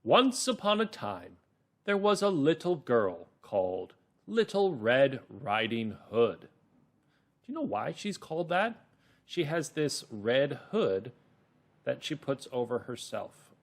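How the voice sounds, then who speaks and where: A man hosting a children's reading lesson as a teacher, explaining and narrating encouragingly, with drawn-out emphasis. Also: medium pitch, very animated. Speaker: teacher and host.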